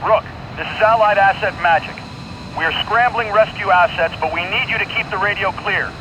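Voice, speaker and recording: A man playing an urgent, businesslike air controller in a military flight game, with crisp, procedural radio delivery. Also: high-pitched, fairly quick, highs cut off, noisy background, natural voice.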